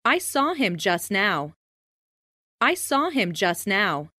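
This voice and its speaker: A woman reading short example sentences with careful, deliberate enunciation for a listening lesson, in a dry studio voice-over. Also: mid-range voice, big pitch swings, sharp tone, no echo. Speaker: listening practice speaker. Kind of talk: slow read example sentences.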